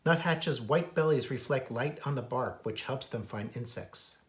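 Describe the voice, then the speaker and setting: A man's voice: mid-range voice, normal speed. An American man reading a children's book aloud as its author and naturalist, adding informal asides about wildlife. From United States. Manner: imitates bird calls in a singsong.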